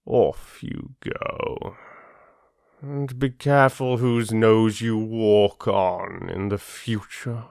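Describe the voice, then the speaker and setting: A man voicing a proud, lordly male lion in a children's storybook, with a slow, haughty drawl. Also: on the low side, steady tone, vocal fry, a little mellow, no echo, studio quality, exaggerated voice. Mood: grudging, haughty. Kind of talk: children's audiobook character voice.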